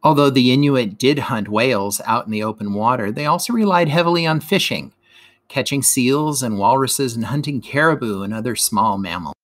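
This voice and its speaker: A man's voice: medium pitch, moderate pace. A man narrating a scripted educational lesson as a teacher reading aloud.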